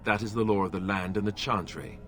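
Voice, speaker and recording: A man's voice: lowish voice, steady tone, fairly quick, fairly bright tone, a little hiss or hum, natural voice. An actor playing a wary, sceptical male warrior companion in a fantasy video game.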